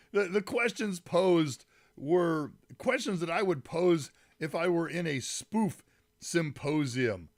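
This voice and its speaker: A man hosting a casual live tech stream, chatting with viewers by name and rambling a little, his voice a bit deep and congested from a summer cold. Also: animated, takes it slowly, no echo, clean studio sound. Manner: rambling, greets chat viewers by name, frequent fillers. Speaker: livestream host. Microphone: mic set some distance away.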